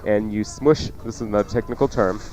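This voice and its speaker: A man's voice: on the low side, steady tone, fast, a little mellow, a little hiss or hum, poor audio. A man hosting a cooking show on the radio, chatting casually and jokingly as he gives folksy recipe instructions. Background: music under the talk.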